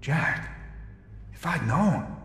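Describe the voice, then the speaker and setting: An actor playing a weary, sincere middle-aged police captain in a video game, measured and earnest. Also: male voice, on the high side, animated, fairly bright tone, a little hiss or hum, exaggerated voice. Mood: earnest regret. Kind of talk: dramatic video game cutscene acting. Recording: echoey reverberant hall, music behind.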